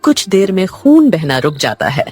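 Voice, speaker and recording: A woman's voice: on the low side, big pitch swings, moderate pace, darkish tone, slightly rough, soft background music. A woman narrating a scripted cartoon moral story as a storyteller.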